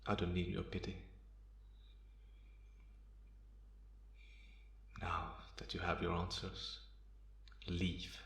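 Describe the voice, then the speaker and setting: Man playing a deep, brooding centuries-old vampire. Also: monotone, vocal fry, fairly bright tone, full character voice. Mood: cold, resigned. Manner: slow, brooding, measured delivery with pauses. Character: centuries-old brooding male vampire, vengeful, bitter, guarded. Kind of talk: ASMR roleplay, dramatic monologue to the listener. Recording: roomy, echoing sound.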